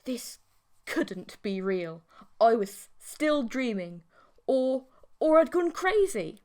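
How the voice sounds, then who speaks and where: A woman reads a scripted first-person story as an audiobook narrator. Her voice is fairly high and her pace is slow, with very animated intonation.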